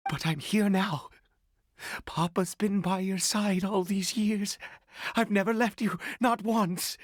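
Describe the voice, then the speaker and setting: An actor playing a grieving, desperate father in a video game, pleading in a tearful, breaking voice with halting, dramatic delivery. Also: high voice, fairly even intonation, no room sound, clean studio sound. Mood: tender, remorseful. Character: grieving desperate father, bitter toward the gods.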